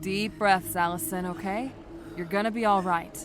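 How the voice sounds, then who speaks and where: Man voicing an anxious female paramedic, raised pitch. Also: fairly even intonation, moderate pace, natural voice. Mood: reassuring. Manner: urgent, caring. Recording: music under the voice.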